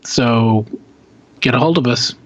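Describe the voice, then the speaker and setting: A man co-hosting a podcast in casual chat, speaking in a halting, informal way full of slangy asides. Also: mid-range voice, fairly bright tone, no room sound, poor audio. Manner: halting, informal slacker speech with dude and man. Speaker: podcast co-host.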